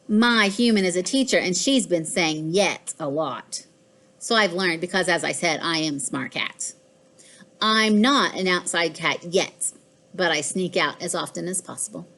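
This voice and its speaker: A woman voicing a boastful, fussy pet house cat in a children's storybook, with expressive, deliberate delivery. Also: mid-range voice. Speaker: author reading own children's book. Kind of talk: scripted children's storybook narration.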